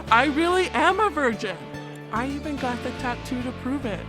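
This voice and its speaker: Woman playing a brash, slow-drawling bawdy flirt. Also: sits high, over music, rough recording. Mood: teasing, boastful. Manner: drawn-out, giggly, teasing delivery. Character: brash, bawdy blonde flirt, proud self-declared virgin. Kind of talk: comic audiobook dramatization.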